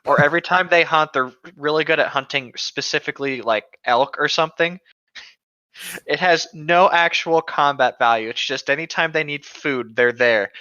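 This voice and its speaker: Male co-host, bright forward voice, casual chat and story reading, slightly lo-fi recording. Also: fairly high pitch, moderate pace, clean tone, no room sound. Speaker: podcast co-host. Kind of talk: casual chat and reading gaming stories aloud.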